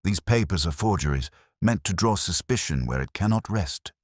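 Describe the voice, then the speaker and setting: A man acts out a calm police inspector in an audio mystery, his voice extremely low. He speaks with measured authority and deliberate, varied emphasis. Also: breathy edge, no room sound, studio quality. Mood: firm.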